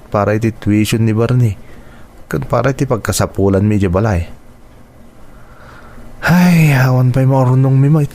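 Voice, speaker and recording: An actor with an Ilocano accent playing an earnest, burdened married son in a radio drama, with noise behind him. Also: male voice, fairly low pitch, darkish tone. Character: dutiful, burdened married son supporting his poor family. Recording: clear background noise.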